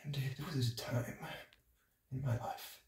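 A man in his early twenties, sitting in cold water, talking to himself in halting, breathy whispers while he shivers. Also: mid-range voice, steady tone, a little mellow, recorded from a distance. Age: early 20s. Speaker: vlogger. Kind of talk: shivering self-talk vlog. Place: small bathroom, sitting in a bathtub. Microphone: phone camera held close. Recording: echoey bathroom, noisy phone audio.

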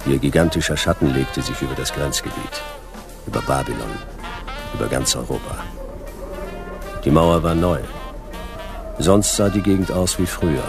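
A world-weary private eye with an extremely deep voice full of creak. He narrates a radio drama tersely and deadpan, over music. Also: full character voice. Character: laconic, world-weary male private detective. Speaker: detective and narrator.

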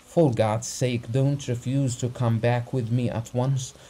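A man reading aloud and voicing a frantic middle-aged gentleman who pleads breathlessly for help. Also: mid-range voice, fairly even intonation, unhurried, slight background noise, pitched above the performer's own voice, natural voice. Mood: relieved, pleading.

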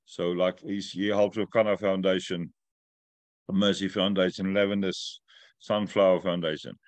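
A middle-aged man, not a native English speaker, talks through a video call from home in a fairly low, dry-sounding voice. He jokes with the group and then explains the steps at length. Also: little pitch movement, moderate pace, no echo. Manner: talkative, joking, instructive. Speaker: host of a weekly update call. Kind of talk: casual video call chat. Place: room at home.

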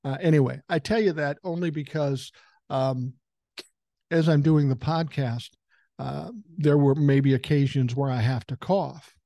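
Sixties male podcast host with a cold, blunt and profane. Mellow voice, dry close-mic sound. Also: mid-range voice, measured pace, creaky, no echo. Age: 60s. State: has a common cold. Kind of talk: casual political commentary monologue. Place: home room with a couch behind him. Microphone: large foam-covered dynamic mic close to the mouth.

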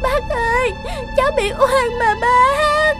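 A woman's voice: extremely high pitch, fairly even intonation, takes it slowly, over loud music, pitched above the performer's own voice. A woman voicing a sly, whiny young pregnant mistress in a cartoon, pleading in a sugary tone. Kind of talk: cartoon dub acting.

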